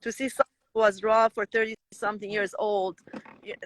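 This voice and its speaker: A woman's voice: mid-range voice, flat delivery, brisk, no room sound, rough recording. A woman with a Persian accent, a native Persian speaker, talking as an interview guest with many restarts and fillers. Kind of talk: conversational interview.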